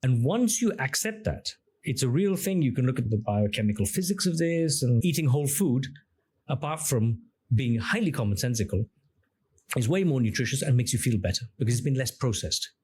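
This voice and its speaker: Husky-voiced man explaining at length. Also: mid-range voice, lively intonation, fairly quick, a little mellow. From Greek-speaking. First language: Greek. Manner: expansive, explains with questions and examples. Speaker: podcast guest, engineer and app founder. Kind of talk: conversational interview.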